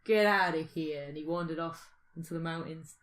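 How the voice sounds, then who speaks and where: A woman with a slightly low voice co-hosts a casual podcast. She thinks aloud in loose, informal phrases. Her delivery is playful and conversational. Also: normal speed. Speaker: podcast co-host. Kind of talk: casual speculative chat.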